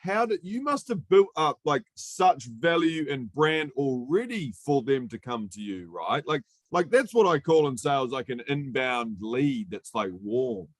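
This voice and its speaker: A man's voice: medium pitch, sing-song, unhurried, hardly any pauses, no echo. Jokey New Zealand man, dry sound. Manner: jokey, laughs often, many "like" fillers. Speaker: podcast host. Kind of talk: casual interview chat.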